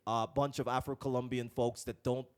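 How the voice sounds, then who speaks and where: A man's voice: medium pitch, little pitch movement, moderate pace, a little mellow, smooth. A man from Guyana hosting a casual podcast interview, thinking aloud with many fillers and restarts. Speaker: podcast host. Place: lives in Colombia.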